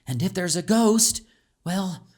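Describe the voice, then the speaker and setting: A man's voice: sits high, lively intonation, takes it slowly, darkish tone, pitched above the performer's own voice, heavily acted. A man voicing a plucky, bossy, adventurous young girl in an audiobook, confident and coaxing. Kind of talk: audiobook character voice.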